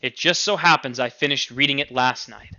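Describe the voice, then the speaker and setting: A man's voice: mid-range voice, a little fast, sharp tone, dull audio. A man voicing a whimsical, teasing young man in a story, with playful mock-serious emphasis. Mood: amused.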